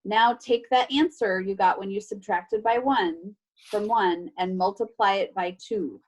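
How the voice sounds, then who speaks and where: A woman teacher reading a children's book aloud over a video call, expressive and instructive, with playful emphasis and casual asides to pupils. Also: medium pitch, a little slow, rough recording. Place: remote video class.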